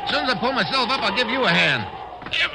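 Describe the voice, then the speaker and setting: Bold, wry American investigator, hard-boiled and lively, on a very noisy old radio drama recording. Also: male voice, very high voice, vocal fry, a loud crowd, exaggerated voice. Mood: urgent, shouting over storm. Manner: brisk, hard-boiled, informal. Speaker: lead actor in a radio adventure drama.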